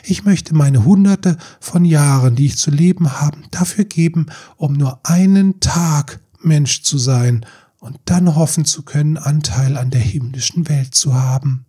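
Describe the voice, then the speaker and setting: A man voicing a young mermaid girl in a fairy tale, earnest, yearning and sorrowful, with a breathy voice. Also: on the high side, a little slow, pitched above the performer's own voice. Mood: sad, yearning. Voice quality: breathy, soft-edged. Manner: earnest, pleading questions.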